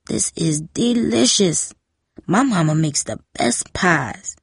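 A woman's voice: on the low side, animated, slow pace, vocal fry, husky, no room sound, clean studio sound, full character voice. A woman voicing a confused elderly grandmother who talks like an insistent little girl, in a storybook reading. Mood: pleased. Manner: childlike, insistent.

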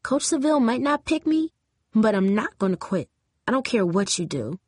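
Woman playing a timid, soft-spoken teenage girl. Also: fairly high pitch, sing-song, moderate pace, no room sound, studio quality, pitched above the performer's own voice. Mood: quiet resolve. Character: timid, nervous but determined teenage girl.

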